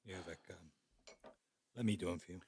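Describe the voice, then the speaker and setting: An actor playing a prickly, resentful grown-up son, terse and dryly sardonic, in an audio drama. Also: male voice, low-pitched, a little fast, darkish tone, a little hiss or hum. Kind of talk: naturalistic audio drama acting.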